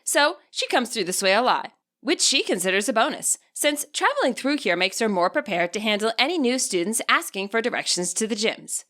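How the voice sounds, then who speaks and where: A woman reading scripted fiction aloud as an expressive audiobook narrator. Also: a little high, brisk.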